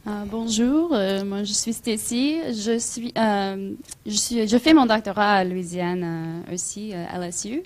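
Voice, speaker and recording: A woman from Illinois, a doctoral student, puts a question to the speaker from the audience. Her voice sits at a middle pitch, and she halts and hesitates as she builds the question. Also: measured pace, hardly any pauses. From Illinois, USA.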